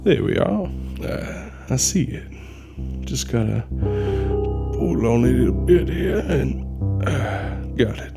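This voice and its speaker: An actor playing a deferential, secretive mortician in a radio drama, speaking with hesitant, deliberate politeness. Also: male voice, mid-range voice, animated, creaky. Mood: careful, businesslike. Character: reserved male mortician, deferential, weary and secretive. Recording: music behind the voice.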